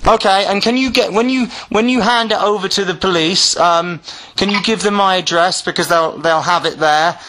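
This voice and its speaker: A man's voice: high voice, moderate pace, sharp tone. A man arguing on a phone call, insistent and exasperated, often cutting in. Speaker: caller trying to get back a lost phone. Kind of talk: argumentative phone conversation.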